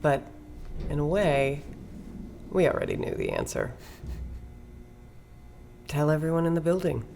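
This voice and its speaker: An actress playing a charismatic, commanding woman who leads a cultish circle, speaking in a measured, solemn, poetic way in an audio drama. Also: low-pitched, huge pitch swings, vocal fry, mellow, slight background noise, full character voice. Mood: reverent. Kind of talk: scripted podcast drama acting.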